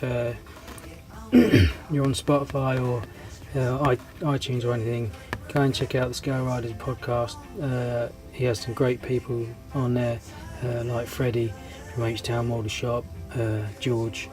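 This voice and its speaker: A man with a stuffy nose and a voice that is giving out chats casually to his viewers. He hesitates a lot, often restarting his sentences, and stays friendly and easygoing throughout. Clear background music plays under his voice. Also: medium pitch. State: congested with a cold, losing his voice. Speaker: scale model hobby channel host. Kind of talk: casual rambling vlog update.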